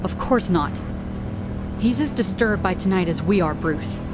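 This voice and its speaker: A woman's voice: mid-range voice, expressive, a little slow, over loud music, natural voice, low-quality recording. A woman playing a determined female vigilante with a firm, earnest delivery in a comic dub. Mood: determined, grave. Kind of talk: dramatic comic dub acting.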